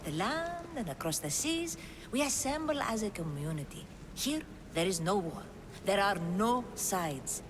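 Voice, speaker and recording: A woman's voice: medium pitch, big pitch swings, a little slow. An actress playing a zealous, effusive female cultist in a video game cutscene, with dramatic, theatrical delivery. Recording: long hall reverb, noisy ambience.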